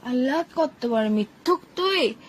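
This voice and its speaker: High-voiced young woman scolding sharply. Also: expressive, a little slow. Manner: scolding, sharp-tongued, hurls insults. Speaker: girlfriend on a phone call. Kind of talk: comic phone-call skit.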